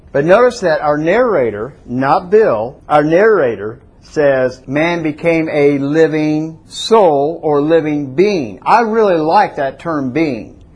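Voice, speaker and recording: A man with a somewhat high, husky voice delivers a teaching sermon in a church. He speaks conversationally, pausing to quiz the congregation and have them repeat a word back to him. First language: not Hebrew. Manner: teaching, asks congregation questions. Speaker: pastor.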